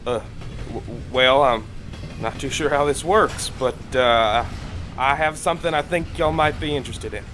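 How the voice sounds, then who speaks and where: A man playing a gruff, suspicious working man with a folksy, blunt way of talking in an audio drama. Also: medium pitch, a little slow, fairly bright tone, a little hiss or hum, close to an everyday voice. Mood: uneasy.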